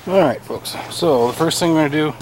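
A man's voice: a little high, normal speed, a little hiss or hum, low-quality recording. A man with a sniffle, casually talking through his work at a workshop bench, self-deprecating and thinking aloud. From United States. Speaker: metal scrapping YouTuber. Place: indoor workbench in a workshop.

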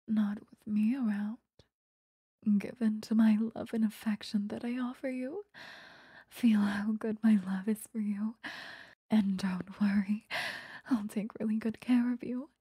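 Girl doing a possessive yandere vampire lady, super breathy asmr whisper, soft mellow tone, dry and close up. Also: a little high, fairly even intonation, dry recording. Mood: softly threatening, then coaxing, affectionate. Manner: slow, intimate, coaxing, threatening. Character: possessive, obsessive female vampire lover, sweetly menacing. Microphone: close microphone, dry.

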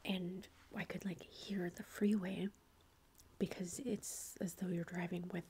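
A woman in her thirties tells a personal story in a soft, steady whisper. Her voice stays flat, with little rise and fall. She chats along with many fillers, and a faint hiss of noise sits behind her. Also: on the low side, unhurried. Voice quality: whispering throughout. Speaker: vlogger.

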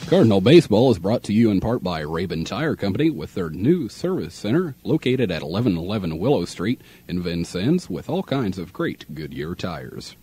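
A young American man reading local radio announcements in a measured, formal announcer manner, on an old aircheck tape. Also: low voice, very animated, mellow, slight background noise. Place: radio studio.

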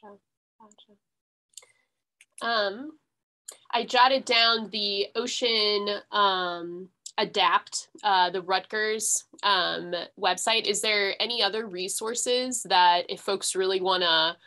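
A woman hosting an online question session over a video call, informal and a little halting in her phrasing. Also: medium pitch, steady tone, bright, forceful tone. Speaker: host and question moderator. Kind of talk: informal webinar moderation. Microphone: video call computer microphone.

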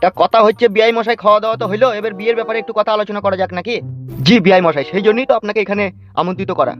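A man's voice: high voice, racing, natural voice. A man playing a brash, pushy village father of the groom in a comic cartoon, in a dull recording with music. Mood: chatty, pushy. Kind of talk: comic cartoon dialogue in rural speech. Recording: dull lo-fi audio with music underneath.

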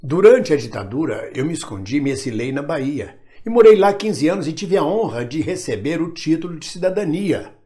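Emphatic older man, lively pitch. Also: moderate pace, few pauses. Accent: Brazilian Portuguese, national standard. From Minas Gerais, Brazil. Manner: emphatic, proud, defensive, lively. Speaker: actor addressing followers. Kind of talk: direct-to-camera monologue. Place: home room with bookshelf, indoors.

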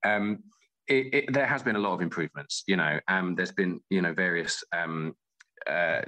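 A man's voice: deep voice, normal speed, pushed and bright. A middle-aged man chatting in an interview as a guest, often rephrasing as he thinks aloud. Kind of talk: casual interview talk.

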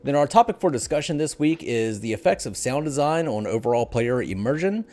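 A man's voice: mid-range voice, expressive, a little fast, a little mellow. A middle-aged man hosting a casual gaming podcast in an informal, jokey way. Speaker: podcast host. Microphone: headset.

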